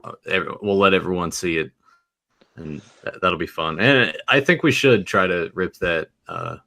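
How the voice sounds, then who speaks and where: A man's voice: sits low, normal speed, creaky, bright, forceful tone. A man with a Southern American accent, co-hosting a podcast in a rambling casual chat full of restarts. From Southern United States. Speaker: podcast co-host.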